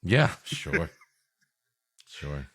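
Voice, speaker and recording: A man's voice: a bass voice, big pitch swings, very slow, a bit bright, slightly rough. A man co-hosting a comedy podcast, bantering playfully in casual chat and often laughing.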